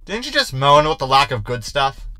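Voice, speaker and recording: Sardonic, bright-voiced ship's hologram played by a man. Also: medium pitch, big pitch swings, a little fast, clean tone, dry recording. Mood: skeptical. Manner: sarcastic, wordy. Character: sardonic, cocky shapeshifting male ship's hologram. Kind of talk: science fiction comedy audio drama acting.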